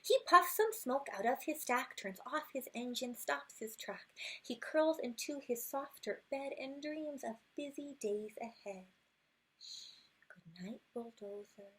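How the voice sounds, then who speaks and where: Woman, higher-pitched, breathy-edged voice, very slow pace, expressive children's story read-aloud. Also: low-quality recording. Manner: expressive, unhurried, drops to hushed whispers at good nights. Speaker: storytime reader. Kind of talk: read-aloud of a rhyming children's picture book with asides.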